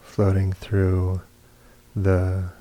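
A man's voice: deep voice, monotone, very slow, mellow, rough recording. A man leading a guided meditation as a teacher, reading poems and reflections in sparse phrases with long silences. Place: meditation retreat hall.

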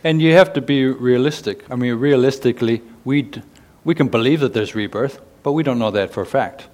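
A man who is a Buddhist monk, speaking on a discussion panel in a slow, thoughtful way. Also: mid-range voice, a little mellow.

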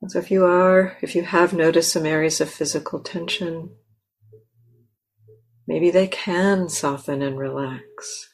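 A woman meditation teacher leading a guided meditation in a gentle, unhurried manner, often pausing mid-phrase. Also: on the low side, fairly even intonation. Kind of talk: guided meditation, slow with long pauses. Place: meditation center.

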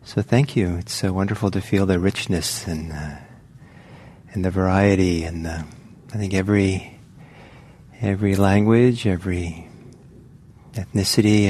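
A man who is a native Norwegian speaker gives a gentle, halting dharma talk as a meditation teacher, leading an online session with many pauses and fillers. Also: low voice, a little hiss or hum.

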